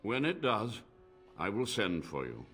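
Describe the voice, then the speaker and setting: An old scholar mage in a fantasy game. He speaks slowly and gravely, weary and formal, his pitch moving expressively. Faint music plays behind him. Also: wildly swooping pitch, fairly bright tone, exaggerated voice. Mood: solemn, weary. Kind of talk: video game dialogue.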